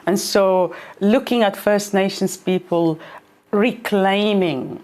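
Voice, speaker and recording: A woman speaking as a panelist in a panel discussion, in a reflective, measured way. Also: medium pitch, darkish tone.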